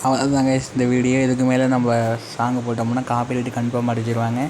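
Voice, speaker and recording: A man's voice: mid-range voice, monotone, fast talker, loud music behind the voice. A man narrating a casual how-to tutorial as an informal channel host, speaking directly to viewers. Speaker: host of a DIY electronics channel. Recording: clearly noisy amateur recording.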